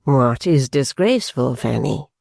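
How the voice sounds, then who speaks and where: A man's voice: mid-range voice, big pitch swings, measured pace, creaky, darkish tone, dry recording, studio quality, exaggerated voice. A man voicing a vain, indignant young woman who scolds and frets in a haughty way.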